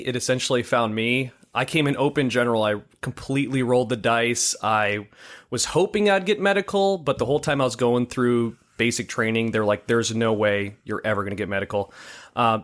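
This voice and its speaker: A man in his thirties from Hawaii, a guest on a podcast, with a voice of ordinary middle pitch. He tells his story in a loose, relaxed way and laughs easily. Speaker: guest, military lab technician. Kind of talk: casual interview.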